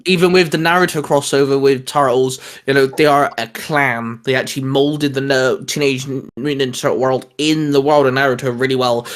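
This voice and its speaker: A man co-hosting a geek show in casual chat, talking in an enthusiastic, rambling way with some casual swearing. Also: on the high side. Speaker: co-host of a geek discussion show. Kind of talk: casual chat about comics.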